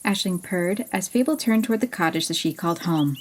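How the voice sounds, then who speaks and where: A woman narrating a fantasy story in a gentle, unhurried way. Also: lowish voice, lively intonation, slight background noise. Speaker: audiobook narrator.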